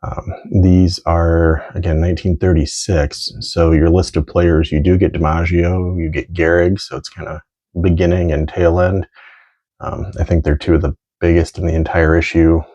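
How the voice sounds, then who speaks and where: A man's voice: low-pitched, little pitch movement, moderate pace, warm tone. A middle-aged American man rambling casually and thinking aloud as he presents collectibles, in a lo-fi home recording. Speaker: vintage sports card collector presenting items. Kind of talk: casual unscripted show-and-tell.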